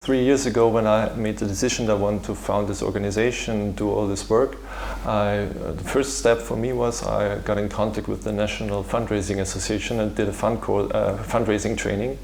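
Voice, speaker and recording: A man with an Austrian accent and German as his first language, explaining his work in an interview in a halting, searching way. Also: on the low side, fairly even intonation, vocal fry, a little mellow, slight background noise. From Vienna, Austria. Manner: hesitant, halting delivery with repeated words. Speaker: patient organization founder, interviewee. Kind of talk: interview answer, explanatory talk.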